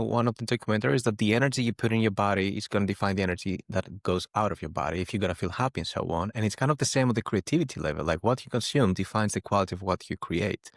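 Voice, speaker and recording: A man's voice: lowish voice, gravelly, dry recording, studio quality. A man from Greece hosting a podcast interview, thoughtful and often restarting his sentences. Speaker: podcast host. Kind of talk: casual interview chat.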